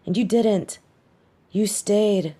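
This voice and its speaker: A woman's voice: mid-range voice, slow, warm tone, husky. A woman acting a caring, brash and loyal young superheroine in a scripted audio roleplay, with a conversational, sassy delivery. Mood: urgent, soothing. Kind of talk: scripted one-sided audio roleplay drama.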